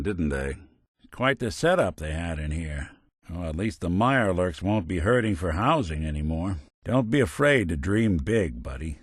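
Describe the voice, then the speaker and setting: World-weary male android private eye, video game. Deep, expressive, sardonic noir delivery, close dry sound. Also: husky, no echo, full character voice. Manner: wry, dry, sardonic, old-time tough-guy phrasing. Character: world-weary wisecracking male synth robot detective, middle-aged. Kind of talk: scripted game companion dialogue, noir detective.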